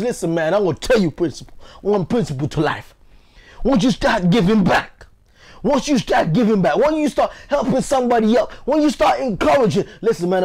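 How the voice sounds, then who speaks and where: A man's voice: very high-pitched, darkish tone. A man giving a motivational speech, emphatic and exhortative, driving points home by repeating phrases. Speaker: motivational speaker. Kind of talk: motivational speech with acted storytelling.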